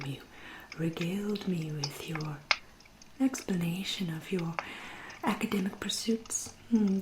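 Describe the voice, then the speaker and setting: A woman voicing a centuries-old aristocratic English vampire in a soft, breathy, often whispery ASMR roleplay, genteel and deliberate, with dry, polite menace. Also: on the low side, echoey room, background noise, lightly acted. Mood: coolly polite. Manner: genteel, deliberate, drawn-out phrasing with dry irony.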